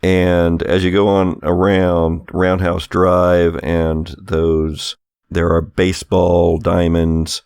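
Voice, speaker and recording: A low, creaky male voice in a very dry recording. He runs a tabletop game, improvising descriptions in an explanatory, conversational manner. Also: level tone, slow pace, darkish tone, dry recording. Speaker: game master.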